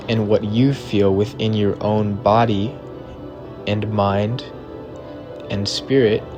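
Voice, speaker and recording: A man narrating a guided meditation in a measured, deliberate way, in short phrases separated by long pauses. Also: on the low side. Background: background music.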